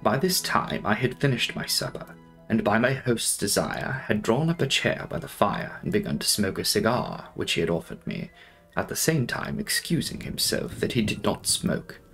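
A young man narrating a classic novel in a theatrical, deliberate audiobook style. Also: fairly low pitch, very animated, vocal fry, fairly bright tone. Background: faint music.

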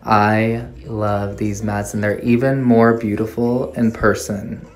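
A woman's voice: a bass voice, little pitch movement, takes it slowly, darkish tone. A woman narrating a casual shopping haul vlog as a nail art YouTuber, gushing with enthusiasm as she shows her finds in a store and at her home desk.

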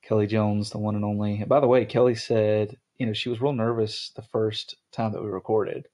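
A man's voice: on the low side, little pitch movement, a little fast, warm tone. A young man hosting a podcast, chatting casually with his wife, rambling with lots of ums and restarts. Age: young adult. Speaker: podcast host.

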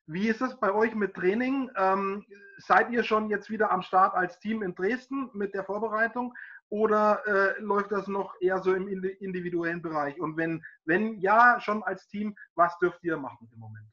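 A man's voice: high voice, moderate pace, bright, forceful tone, lo-fi sound. A middle-aged man, a sports reporter hosting an interview over a video call, asking questions in a friendly, chatty way.

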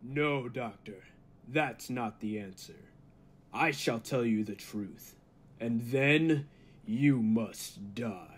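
An actor playing a cold, megalomaniac humanlike robot in an old-time radio drama, speaking deliberately and with grandiose menace. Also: male voice, mid-range voice, breathy edge. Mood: menacing. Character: cold, megalomaniac humanlike male robot bent on world mastery.

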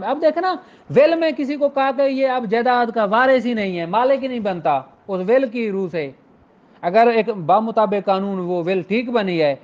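A man's voice: high-pitched, a little mellow. A man with a Pakistani accent giving an informal, explanatory lecture as a law teacher. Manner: explanatory, switches between Urdu and slowly read English. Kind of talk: informal lecture, reading out and explaining legal articles. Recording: dull lo-fi recording.

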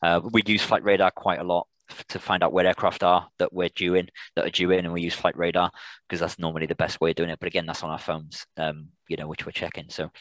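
A British man informally answering questions as a presenter on a video call, in a halting way with repeated words and restarts. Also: low voice, flat delivery, a bit bright, no echo. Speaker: air traffic controller, guest presenter.

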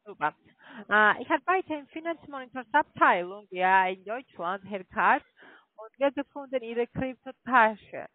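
A woman's voice: on the high side, expressive, a little slow, no room sound. Insistent non-native woman on a phone line. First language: not German. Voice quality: mumbled, indistinct diction. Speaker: cold-calling call centre agent. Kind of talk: phone sales questioning. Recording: dry narrow phone line.

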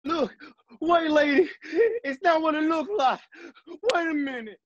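An actor playing a menacing gun-toting bandit in a Western radio drama, deliberate and threatening. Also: male voice, very high-pitched, a bit bright, highs cut off. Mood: panicked, shouting.

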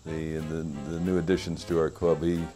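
A man's voice: rumbling low, flat delivery, normal speed, mellow, faint music behind. A man in his sixties explaining his radio club in a genial way, recorded on a covered porch with a lapel mic. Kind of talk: casual documentary interview. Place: covered porch with a stone wall.

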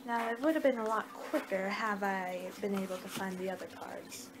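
A young woman doing an improvised ASMR roleplay, muttering in an unhurried, distracted way on a noisy amateur home recording. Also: medium pitch. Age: young adult. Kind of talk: ASMR roleplay, improvised reading of fortune cards. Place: small home room, indoors. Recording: noisy amateur webcam-style room recording.

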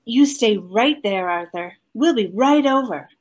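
A high-voiced witty detective's wife. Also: female voice, lively intonation, a little slow. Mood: concerned. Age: middle-aged. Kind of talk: 1940s radio comedy acting. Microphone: seated before camera on video call.

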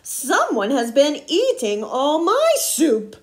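An indignant mama bear voiced by a woman storyteller huffs through her storybook grievances. She is emphatic and high-pitched, stretching her words out slowly. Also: huge pitch swings, exaggerated voice. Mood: growling annoyance. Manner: huffy, emphatic, drawn-out complaining.

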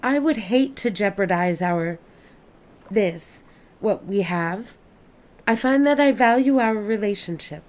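A woman's voice: medium pitch, lively intonation, takes it slowly, a little mellow. A woman playing an earnest, curious, newly adult alien in an audio play, speaking in formal, precise, stilted sentences. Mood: earnest, apologetic.

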